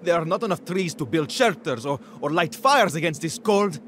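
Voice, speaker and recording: An actor playing a worried settler in a video game, pleading in an urgent, agitated way. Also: male voice, a little high, animated, moderate pace, a bit bright, a little hiss or hum. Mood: anxious complaint. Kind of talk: dramatic video game cutscene acting. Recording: faint outdoor ambience behind.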